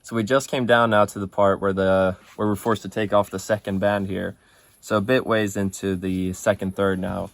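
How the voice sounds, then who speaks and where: Low-voiced man, relaxed and hesitant. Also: moderate pace, no echo. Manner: relaxed, hesitant, many fillers. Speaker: co-host of a cigar review show. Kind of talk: casual review chat.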